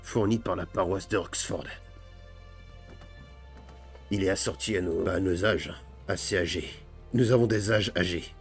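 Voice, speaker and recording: A man's voice: deep voice, steady tone, unhurried, long pauses, soft background music. A man playing an elderly pious ex-convict priest with absurdly formal, mock-solemn phrasing in improvised game roleplay. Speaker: gaming roleplay streamer. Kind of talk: improvised comic roleplay narration.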